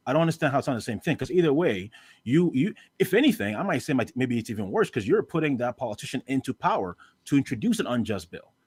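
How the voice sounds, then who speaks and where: A man's voice: mid-range voice, sing-song, a little mellow, slightly rough, no room sound. Man from Canada, debate guest, insistent with lots of restarts, dry mic. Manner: rapid, repetitive restarts when pressing a point. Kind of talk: live debate.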